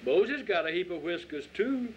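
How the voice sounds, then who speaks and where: A man's voice: high-pitched, lively intonation, normal speed, pitched above the performer's own voice. A man voicing a pious, know-it-all little girl from the rural South, speaking emphatically in a folksy story reading. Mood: emphatic, certain. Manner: folksy, emphatic, Bible-citing. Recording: dull lo-fi audio with faint noise.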